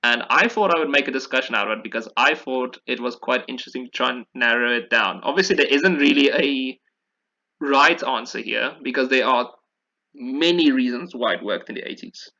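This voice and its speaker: A man's voice: mid-range voice, fairly quick, bright, forceful tone, dull audio. A man hosting a casual discussion, explaining things in a rambling, informal way. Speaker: podcast host. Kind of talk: casual video game history discussion.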